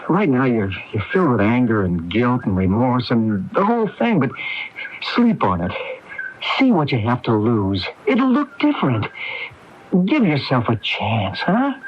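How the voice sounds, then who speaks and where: An actor playing a nervous, pleading art dealer in a radio mystery, agitated and stammering. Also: male voice, medium pitch, wildly swooping pitch, unhurried. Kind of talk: radio mystery drama acting. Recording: dull, hissy AM radio recording.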